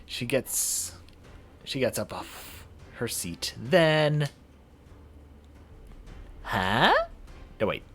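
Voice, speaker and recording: A man streaming a visual novel playthrough, reading it aloud in a halting, dramatic way and correcting himself. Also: medium pitch, animated, fairly bright tone, slightly rough.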